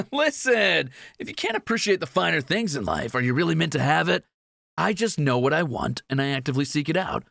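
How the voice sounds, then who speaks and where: A bright, animated rogue of a thief, acted by a man, teasing and flippant in a fantasy roleplay. His high voice has a gravelly creak and he is always angling for gold. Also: a little fast, no echo, clean studio sound, exaggerated voice. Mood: playful mocking. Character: cheeky, greedy young male thief, teasing adventurer. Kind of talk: fantasy audio roleplay acting.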